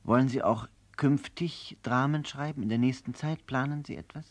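A man's voice: mid-range voice, normal speed. A man asking polite, formal interview questions on an old 1960s broadcast recording.

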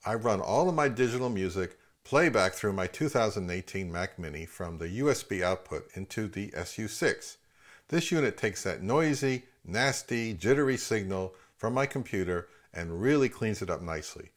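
A man in his fifties with a fairly low voice hosts a review channel. He delivers scripted product commentary in the manner of a narrator, speaking as a presenter to his viewers. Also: lively intonation, normal speed.

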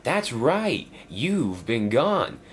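Brief: oily storybook diesel engine villain, voiced by a man, sneering, lively swings. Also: mid-range voice, drawn-out words, breathy edge, pitched above the performer's own voice, heavily acted. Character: rude scheming male diesel engine.

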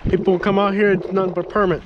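High-voiced man in loud outdoor noise. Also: level tone, fast talker, dull audio. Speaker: fishing vlogger. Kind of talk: casual chat while fishing. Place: outdoors in a canoe and on shore at a coastal pass. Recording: loud wind, water and boat noise.

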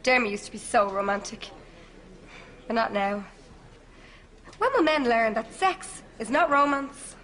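A woman's voice: a little high, a little slow, long pauses, a bit bright. A woman playing a teasing, frustrated young Irish wife in a sitcom. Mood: teasing, exasperated. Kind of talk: sitcom acting.

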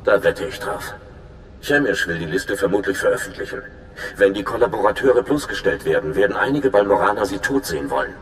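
A man's voice: on the low side, lively intonation, brisk, echoey room, a little hiss or hum, natural voice. An actor playing an earnest, conscientious male undercover agent in a video game, speaking in a confiding way. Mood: worried, urgent. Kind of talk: dramatic video game acting.

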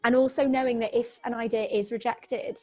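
A woman's voice: on the high side, steady tone, fairly quick, warm tone, soft background music. A young British woman hosting an interview over a video call, asking long rambling questions with many fillers. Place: home room indoors. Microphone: video call webcam.

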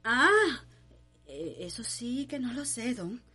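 An actress playing a deferential, easily flustered enslaved maidservant in a Cuban radio soap, speaking in rustic, clipped folk speech with a Cuban accent. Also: female voice, a little high, sing-song, slow, sharp tone, husky, faint music behind, heavily acted. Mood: flustered, obedient. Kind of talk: radio soap opera acting.

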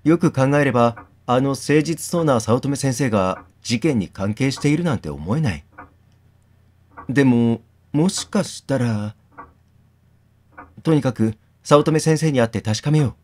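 Animated man hosting a game read-aloud. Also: mid-range voice, unhurried. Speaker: video host reading a game aloud. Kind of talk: game playthrough narration and commentary.

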